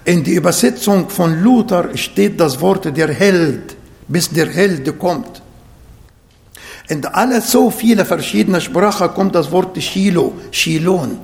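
Slow high-voiced man preaching in a hall. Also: creaky, a little mellow. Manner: non-native German with grammar slips; slow, emphatic scripture reading. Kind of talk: sermon.

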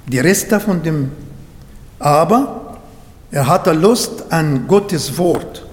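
A man's voice: a little high, expressive, drawn-out words, roomy sound, in a large hall. A man whose first language is Arabic, preaching a sermon in German, earnest and sometimes searching for words.

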